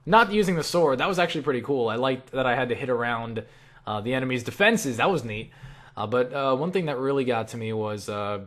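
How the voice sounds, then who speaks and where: A man's voice: medium pitch, lively intonation, brisk, a bit bright. A young man chatting casually and thinking aloud as he answers viewer questions, rambling and opinionated, with frequent swearing. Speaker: online video creator answering viewer questions. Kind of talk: casual rambling Q&A chat about video games.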